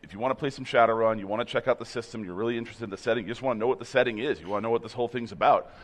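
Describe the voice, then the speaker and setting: A hoarse man leading a game demo team, talking casually with many restarts. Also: very fast. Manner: rambling, many restarts, upbeat pitch. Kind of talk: casual chat.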